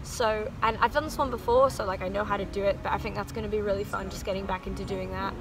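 A woman's voice: mid-range voice, steady tone, fast talker, noisy background. Chatty young woman, casual vlog. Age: 20s. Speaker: vlogger, university student. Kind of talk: casual chat to camera.

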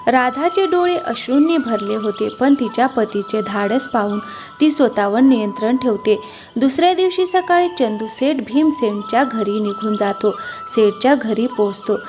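A woman's voice: fairly high pitch, expressive, moderate pace, over music. A woman narrating a scripted moral story as its storyteller.

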